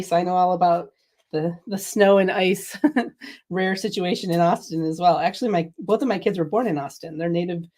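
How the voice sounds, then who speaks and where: A woman's voice: fairly low pitch, darkish tone. A middle-aged woman hosting a casual craft product livestream, chatty and laughing often, a little sniffly from a cold. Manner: chatty, laughs often, reads viewer comments. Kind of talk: casual product demo chat.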